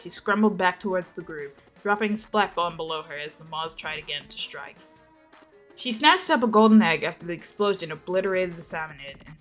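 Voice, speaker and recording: Woman narrating a story over music. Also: on the low side. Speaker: narrator of a fan fiction reading. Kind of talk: dramatic scripted narration.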